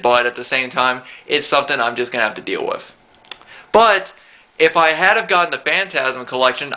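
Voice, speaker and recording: A young man talks in a very bright, forward voice with a stuffed-up nose. He rambles casually, often pausing with ums and starting his sentences over. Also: medium pitch, poor audio. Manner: rambling, with many ums and restarts. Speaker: collector vlogger. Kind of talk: casual to-camera show-and-tell.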